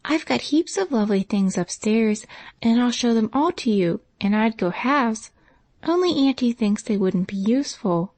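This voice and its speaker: Figure: eager young girl, voiced by a woman. Pitch: raised. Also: animated, darkish tone, no echo. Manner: talks very fast and eagerly.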